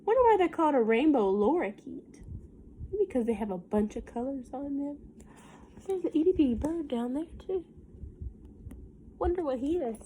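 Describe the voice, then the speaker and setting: A woman reading a picture book aloud to young children like a teacher, in an expressive sing-song way with simple questions and asides. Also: high voice, moderate pace, pauses often, slight background noise, poor audio.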